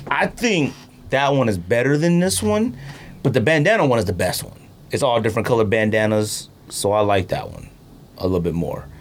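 A man's voice: medium pitch, lively intonation, a little fast. A man hosting a podcast in a casual, slangy, playful chat about sneakers. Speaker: podcast host. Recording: clearly noisy background.

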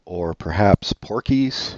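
Deep-voiced man, dry offhand chat. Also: expressive, slow, no echo, highs cut off. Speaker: podcast co-host. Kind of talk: casual movie chat.

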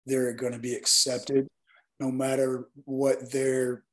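A hoarse man from Northeast Alabama, a guest talking earnestly and at length in an interview. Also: mid-range voice, monotone, slow. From Northeast Alabama, US South. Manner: earnest, rambling explanations. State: hoarse, partly lost voice. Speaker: guest, nightlife event promoter. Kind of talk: casual interview talk.

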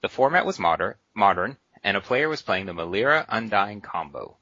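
A man co-hosting a podcast, jokey and laughing often as he reads listener letters aloud, with a husky voice. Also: fairly low pitch, big pitch swings, a little fast, bright, forceful tone, no room sound, lo-fi sound. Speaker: podcast co-host reading listener mail. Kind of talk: casual podcast chat.

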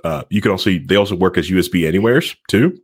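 A man's voice: sits low, expressive, quick pace, husky, no echo, clean studio sound. A man hosting a tech talk show in casual banter, rambling and jokey, often laughing.

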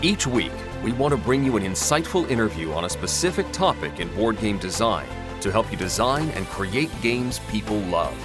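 Deep male announcer over music. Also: very animated, normal speed, hardly any pauses. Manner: polished, announcing. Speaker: show announcer. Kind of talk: scripted announcer intro.

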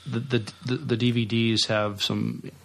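A man co-hosting a podcast, chatting casually about news with halting, stammering starts. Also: mid-range voice, level tone, vocal fry, a bit bright. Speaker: podcast co-host.

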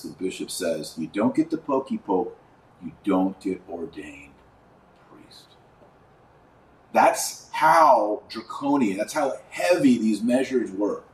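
A man with a fairly low voice delivers religious podcast commentary. He is emphatic and indignant, leaning on rhetorical questions and sarcastic asides. The sound is clearly roomy, as if he sits a little way from the microphone. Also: darkish tone, distant mic, a little hiss or hum.

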